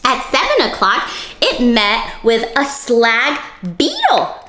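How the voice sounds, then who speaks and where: A woman leads an interactive storytime for young children in a fairly high, swooping voice. She goes slowly and coaxes listeners with simple questions. The room is audibly echoey. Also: wildly swooping pitch, sharp tone. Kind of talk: interactive picture-book read-aloud for young children.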